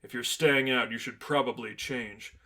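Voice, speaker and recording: A man's voice: medium pitch, fairly even intonation, normal speed, sharp tone. A man voicing a stern, unamused masked vigilante who speaks seriously and tersely in a story reading. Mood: businesslike.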